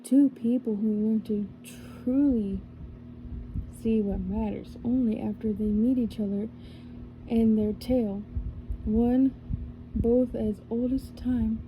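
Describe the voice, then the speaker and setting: A woman reading a storybook aloud in a soft ASMR style, slowly and carefully, sometimes slipping into a whisper and correcting small slips as she goes. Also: fairly high pitch, a little hiss or hum, low-quality recording. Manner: drops to a whisper at times. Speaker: ASMR reader.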